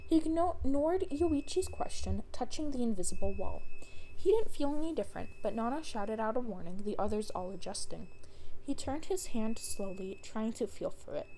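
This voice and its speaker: A woman's voice: fairly high pitch, lively intonation, moderate pace, mellow, slight background noise, poor audio. A woman narrating a scripted story as a fan fiction reader.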